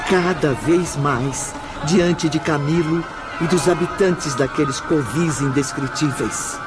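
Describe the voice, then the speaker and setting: An actor narrating a dark radio drama in a solemn, measured, ominous way. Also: male voice, a little high. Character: solemn omniscient male narrator of a dark spiritual tale. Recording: loud dramatic music under the voice.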